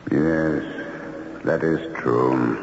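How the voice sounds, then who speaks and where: A solemn ghost from an old radio play. The voice is extremely deep and slow, each word measured and grave. It rings out with a long hollow reverb. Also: male voice, a little mellow, heavily acted. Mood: solemn, calm. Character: solemn male ghost confined to a house. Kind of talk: 1970s radio drama acting. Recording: dull old radio, long echo, faint noise.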